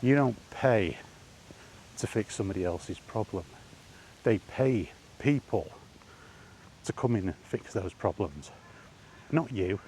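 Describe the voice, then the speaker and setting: A man talks in a low, mellow voice, lecturing bluntly and with emphasis. It is an opinion rant from a gaming vlogger, recorded while he walks outdoors, with faint noise behind him. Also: animated, slow pace, long pauses, poor audio. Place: outdoors by allotments.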